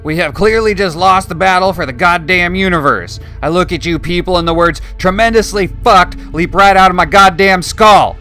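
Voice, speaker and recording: Man doing a brutal drill instructor, shouting and profane, forceful and bright, faint music behind. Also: high-pitched, moderate pace, hardly any pauses, pitched above the performer's own voice. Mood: barking, abusive. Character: brutal foul-mouthed male drill sergeant.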